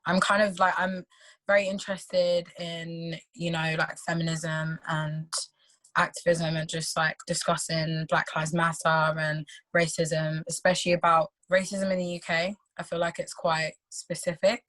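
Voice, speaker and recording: A woman, a literature student who rushes through her phrases with many fillers. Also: lowish voice, little pitch movement, a bit bright, no room sound. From United Kingdom. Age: 20s. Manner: quick, filler-heavy, rushing phrases.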